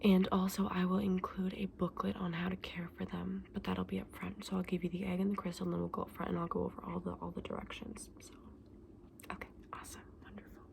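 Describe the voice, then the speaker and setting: A woman doing a soft-spoken, often whispered ASMR roleplay as a gentle, chatty shopkeeper, explaining her wares informally and without hurry. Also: lowish voice, flat delivery, quick pace, creaky, a little hiss or hum. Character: gentle, chatty woman selling dragon eggs.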